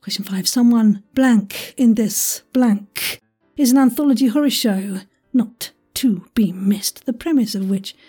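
A woman quiz host with a high voice and a soft, mellow tone. She is chatty and theatrical, tossing in jokey asides and exclamations, with a breathy husk to her delivery. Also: unhurried, faint music behind. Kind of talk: chatty, playful audio quiz hosting.

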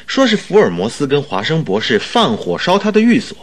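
An actor playing a good-natured, slightly doubtful sidekick in a radio drama, with a husky voice and a questioning manner. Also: male voice, on the high side, wildly swooping pitch, brisk. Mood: puzzled, doubtful. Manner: questioning, interjecting. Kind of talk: Chinese radio drama acting.

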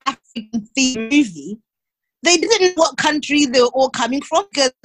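A woman's voice: sits high, lively intonation, normal speed, dry recording, soft background music. A woman explaining her view in a matter-of-fact way during a casual chat with friends.

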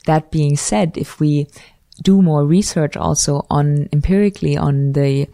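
A woman's voice: low-pitched, a little slow, vocal fry, mellow, no room sound. A woman, a guest researcher explaining ideas thoughtfully in an interview and often correcting herself mid-sentence. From Switzerland. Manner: thoughtful, academic, frequent self-corrections. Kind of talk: conversational interview.

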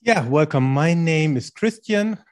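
German-accented male coach in his forties, calm explainer. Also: fairly high pitch, a little slow. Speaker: guest, strength and conditioning coach. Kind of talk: podcast interview answers in English.

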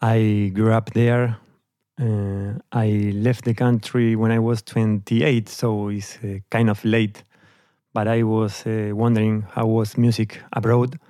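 A middle-aged man from Argentina with an Argentine accent and Spanish as his first language, telling his story as an interview guest with hesitant pauses and fillers. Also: on the low side, flat delivery, warm tone, no room sound, studio quality. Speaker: guest musician.

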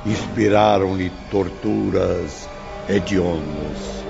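Wise teacher-narrator: instructive, grave, slow delivery, lo-fi radio drama over music. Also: male voice, low voice, vocal fry, heavily acted. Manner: deliberate, solemn, instructive. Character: solemn male spiritual teacher guiding his pupils.

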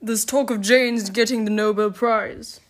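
Proud male warrior god, slow and grandiose, audiobook character played by a woman. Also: on the high side, steady tone, lightly acted. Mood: boastful. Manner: stately, grandiose, drawn-out delivery.

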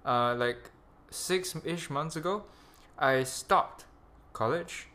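A man's voice: fairly high pitch, takes it slowly, bright, forceful tone. A young man with a Malaysian accent hosting a podcast in a rambling, crude, self-mocking casual chat. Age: young adult. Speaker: podcast host.

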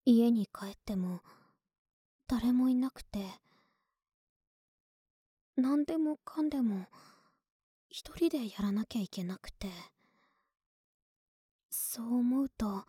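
Breathy, intimate big-sister neighbor played by a woman. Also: fairly high pitch, no echo, studio quality, full character voice. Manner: intimate, soft, often whispering, teasing. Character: affectionate, clumsy, lonely young woman neighbor, playful big-sister type. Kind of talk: Japanese ASMR situation voice drama.

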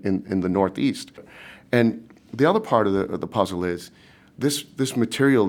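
Rambling low-voiced man, light Boston accent. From Boston, USA. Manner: rambling, many fillers and restarts. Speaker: startup team member. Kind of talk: casual interview talk.

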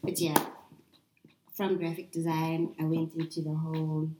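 A woman's voice: sits low, monotone, a little slow, mellow, roomy sound, rough recording. A woman in her twenties, a podcast guest, chatting in a wry, hesitant way. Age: 20s. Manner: wry, hesitant, drops to a mutter. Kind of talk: casual chat.

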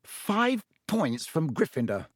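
A man's voice: a little high, sing-song, a little slow, no room sound, clean studio sound. A man voicing a pompous, officious teenage school prefect in a storybook, fussy and indignant as he scolds. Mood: terse, stern.

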